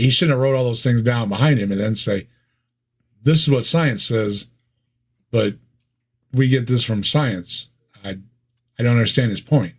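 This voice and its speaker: A man's voice: mid-range voice, slightly rough. A man with a Midwestern American accent, co-hosting a casual reaction chat with wry, sarcastic asides and frequent laughs. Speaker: co-host reacting to videos.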